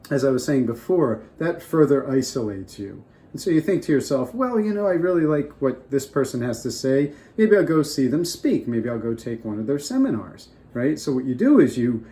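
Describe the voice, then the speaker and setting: An older man gives advice straight to his viewers, talking off the top of his head. His voice is mellow and relaxed, a little off from a lingering cold, and he circles back and restarts his sentences often. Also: mid-range voice. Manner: rambling, restarts often, off the cuff. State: getting over a cold. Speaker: vlogger. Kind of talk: casual advice talk to camera.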